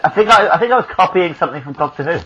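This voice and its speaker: A man's voice: on the high side, animated, racing, sharp tone, lo-fi sound. An Englishman co-hosting a casual podcast chat with dry jokes. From England. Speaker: podcast co-host.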